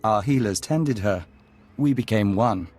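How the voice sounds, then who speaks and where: Low-voiced, serene hive envoy. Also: male voice, music in the background. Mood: calm, reverent. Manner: measured, formal, speaks of himself as we. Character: serene, courteous male envoy of an alien insect hive. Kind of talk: video game voice acting.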